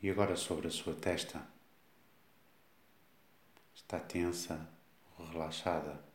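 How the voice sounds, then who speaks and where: A man with a European Portuguese accent guides a body scan meditation, giving slow, calm instructions with long pauses. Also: sits low. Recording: echoey, reverberant room sound.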